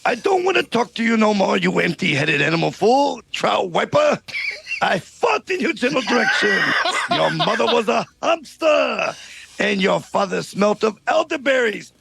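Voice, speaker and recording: Man puts on a jeering French castle guard, fake thick accent, gravelly rasp, shouted taunts. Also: high-pitched, very animated, full character voice. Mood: taunting. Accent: put-on exaggerated French. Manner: shouted insults, sneering drawn-out taunts. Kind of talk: amateur comedy script read-through.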